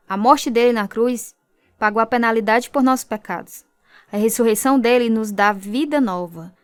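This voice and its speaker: A woman's voice: on the high side, smooth, no room sound, close to an everyday voice. An actress with a Brazilian accent playing a devout, earnest young convert telling her story in a radio drama. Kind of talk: radio drama narration and dialogue.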